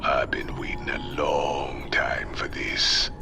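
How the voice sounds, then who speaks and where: A streetwise rebel assassin for a dramatic video game. His Caribbean-accented voice is very deep and breathy, moving slowly and with menace over prominent music. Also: little pitch movement, whispered, heavily acted. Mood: confident, intense. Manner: slow, intimidating, deliberate.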